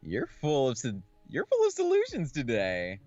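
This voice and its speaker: A man's voice: mid-range voice, huge pitch swings, moderate pace, a little mellow, no echo, highs cut off. Man as easygoing senior-year schoolboy hero of a visual novel, casual and confident, music behind. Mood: teasing, curious. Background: background game music.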